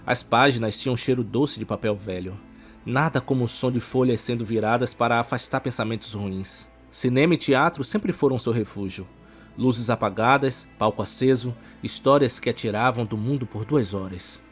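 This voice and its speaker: A man with a Brazilian accent reading a scripted suspense audiobook as narrator, with music behind him. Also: mid-range voice.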